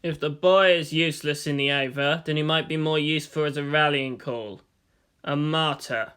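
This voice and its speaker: A narrator voicing a cold, calculating middle-aged male commander in a slow, deliberate, grave manner. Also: mid-range voice, fairly even intonation, fairly bright tone, smooth, natural voice.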